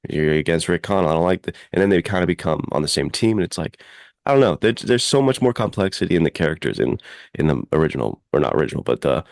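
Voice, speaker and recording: A man's voice: low voice, big pitch swings, quick pace, vocal fry, darkish tone, no room sound, studio quality. A man chatting casually and enthusiastically as a podcast co-host, rambling informally through his opinions. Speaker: podcast co-host and adventure fiction writer.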